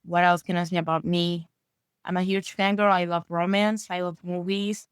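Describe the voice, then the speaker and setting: A woman's voice: on the low side, flat delivery, moderate pace, smooth, no echo, clean studio sound. A woman in her thirties from Venezuela, a native Spanish speaker, telling a friendly story as a guest author. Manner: friendly, storytelling, uneven pace. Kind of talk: casual interview chat.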